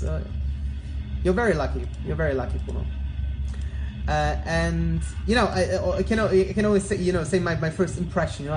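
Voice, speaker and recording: A man's voice: high-pitched, rough recording. A man whose first language is Polish, hosting a livestream and commenting casually in a rambling, chatty way. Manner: rambling, chatty, many restarts. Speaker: livestream host. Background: concert music playing.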